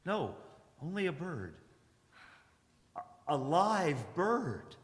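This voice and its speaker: A man playing a haunted, weary wanderer, solemn and sometimes halting, in a live radio drama. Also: on the high side, big pitch swings, heavily acted. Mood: nervous, hesitant. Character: haunted, weary male wanderer narrating his survival. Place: church hall stage, live performance. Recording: echoey hall, live performance.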